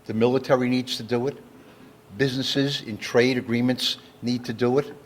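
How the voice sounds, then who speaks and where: A man giving an educational public lecture, explaining terms in a deliberate, step-by-step way. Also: medium pitch, steady tone, slight background noise. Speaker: lecturer, engineer. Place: talk given live to an audience.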